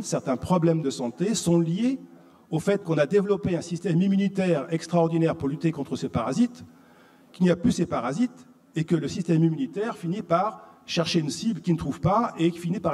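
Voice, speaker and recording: A man's voice: fairly high pitch, normal speed, mellow. A man from France, giving a didactic conference lecture that he paces with rhetorical questions. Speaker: conference speaker. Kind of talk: explanatory public lecture. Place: reverberant conference hall. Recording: long hall reverb.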